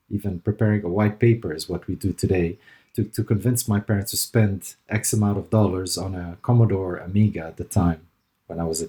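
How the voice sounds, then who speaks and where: Middle-aged male guest from Lebanon, deep voice, reflective conversational answers. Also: darkish tone. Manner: thoughtful, hesitant with fillers and restarts. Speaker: interview guest, consultant.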